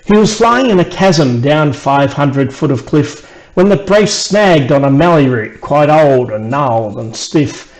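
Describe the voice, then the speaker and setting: A man with an Australian accent narrating a rhymed children's story in an expressive storyteller's way. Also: a little high, rough recording. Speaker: author reading own children's book.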